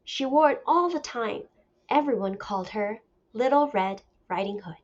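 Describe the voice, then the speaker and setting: A woman teacher reading a picture book aloud as a lesson, with deliberate, expressive storytelling. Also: fairly high pitch. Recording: dull lo-fi recording.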